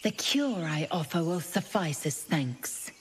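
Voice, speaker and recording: A woman's voice: on the low side, very animated, unhurried, slight background noise. A woman playing a haughty alien warrior in a fantasy game, imperious and clipped in manner. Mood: stern, imperious. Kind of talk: cinematic fantasy game acting.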